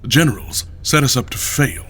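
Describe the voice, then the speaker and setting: An actor playing a serious, guarded male war hero who speaks tersely and measured. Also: medium pitch, animated, a bit bright, a little hiss or hum, lightly acted. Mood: grave. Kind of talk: audio drama acting.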